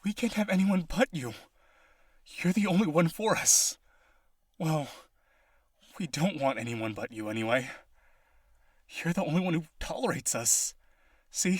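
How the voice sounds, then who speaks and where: A man playing a possessive, needy vampire aristocrat in a romantic audio roleplay, coaxing the listener with eager theatrical delivery and a breathy voice. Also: a little high, no echo, clean studio sound.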